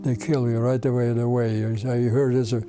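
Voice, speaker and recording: A man in his nineties with a Ukrainian accent, recalling his past in halting, repetitive broken English. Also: mid-range voice, level tone. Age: over 90. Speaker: interviewee, Holocaust survivor. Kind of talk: personal recollection. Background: instrumental music.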